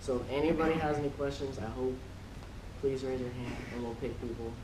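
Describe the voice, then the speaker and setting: A man's voice: mid-range voice, a little fast. Young man hosting in an echoey room. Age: 20s. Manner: informal, jokey. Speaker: convention panelist and host. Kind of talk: casual panel chat. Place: convention panel room. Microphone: distant from mouth. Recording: echoey, distant mic, room noise.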